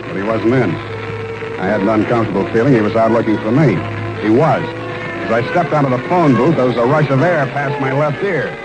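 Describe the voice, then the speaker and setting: A man's voice: mid-range voice, fairly quick, natural voice, poor audio. A man playing a terse, wry, tough private detective, narrating in vintage hard-boiled radio style. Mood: wry narration. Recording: old radio recording with loud music.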